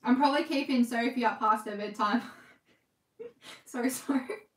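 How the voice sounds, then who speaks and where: A young Australian woman chatting casually on a livestream from her sewing room, reading comments, talking fast and laughing often. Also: a little high, reverberant. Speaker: livestream host, scrunchie maker. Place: home sewing room, indoors.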